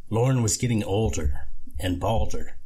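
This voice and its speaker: A man's voice: lowish voice, expressive, measured pace, mellow, husky, poor audio. A man reading a novel aloud as scripted narration, as an amateur audiobook reader.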